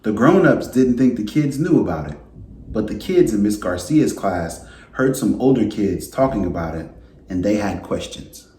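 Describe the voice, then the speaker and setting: A man reading a children's picture book aloud as a school principal, in a deliberate, expressive way. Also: fairly low pitch, slight background noise. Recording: roomy, echoey indoor sound.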